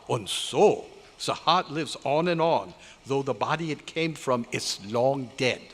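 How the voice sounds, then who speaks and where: An actor playing a stern, excitable scientist with a German accent and formal, emphatic phrasing in an old-time radio drama. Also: male voice, medium pitch, a little slow, husky. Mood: instructive, proud. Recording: echoey live hall recording, faint noise.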